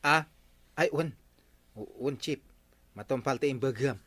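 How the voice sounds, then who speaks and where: A man with an Ilocano accent playing an excitable, bossy village captain in a comic radio drama. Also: mid-range voice, unhurried, no echo. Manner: animated, exclaiming, bossy. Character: excitable middle-aged male village captain. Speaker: barangay captain.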